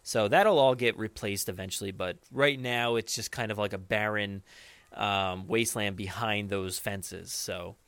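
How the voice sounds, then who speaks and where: A man chatting casually as a podcast co-host, enthusiastic and often halting as he searches for words. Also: lowish voice, steady tone, a bit bright, clean tone, dry recording.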